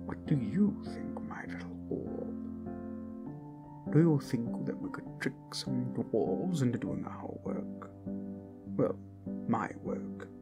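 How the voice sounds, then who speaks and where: A man's voice: medium pitch, big pitch swings, slow pace, pauses often. A man playing a grumbling, scheming old wizard who muses theatrically over his orb in an ASMR fantasy roleplay, often slipping into whispers. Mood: scheming, intrigued. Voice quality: breathy, husky. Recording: music behind the voice.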